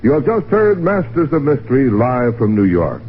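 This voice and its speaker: A man's voice: medium pitch, big pitch swings, normal speed, a little hiss or hum. A man hosting a radio program in a formal, dramatic, scripted mid-century announcer style. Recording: narrow-band vintage radio broadcast.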